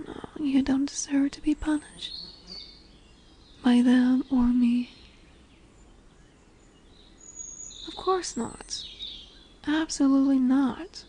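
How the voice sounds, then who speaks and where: A woman voicing a dominant lamia in a roleplay audio, curious and condescending yet nurturing, speaking deliberately with teasing, soothing near-whispers. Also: high-pitched, mellow, a little hiss or hum. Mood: gentle, indignant. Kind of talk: slow roleplay audio monologue addressed to the listener. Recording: birdsong and woodland ambience behind. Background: birdsong, forest ambience.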